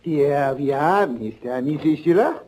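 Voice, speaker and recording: An actor playing a nosy, self-satisfied veteran hotel clerk with a knowing manner, in 1940s radio character style. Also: male voice, on the high side, expressive, moderate pace, a little mellow, lightly acted. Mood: smug, friendly. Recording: noisy, muffled old radio recording.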